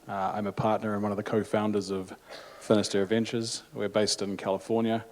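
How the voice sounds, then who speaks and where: A man with a New Zealand accent, introducing himself as a panelist at a conference, measured and unhurried with fillers. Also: fairly low pitch, flat delivery. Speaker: venture capital panelist. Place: conference hall stage.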